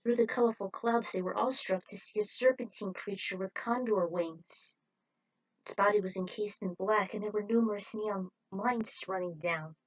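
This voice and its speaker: Woman narrator, mid-pitched voice, scripted fan fiction read aloud, dramatic, slightly husky. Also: moderate pace, poor audio.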